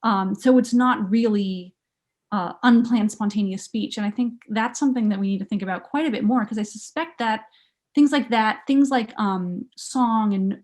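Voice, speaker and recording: A woman researcher explaining her ideas in an academic talk, emphatic and thinking aloud as she goes. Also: medium pitch. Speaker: academic researcher, panel presenter. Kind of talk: academic presentation and question answering.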